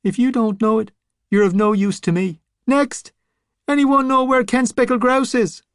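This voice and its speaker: A man's voice: very high voice, fairly even intonation, normal speed, clear, resonant tone, no room sound, studio quality, voice pushed up. A man voicing a vain, scheming zombie man who speaks smugly and peevishly. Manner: smug, wheedling, peevish. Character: scheming undead male zombie, vain and sly.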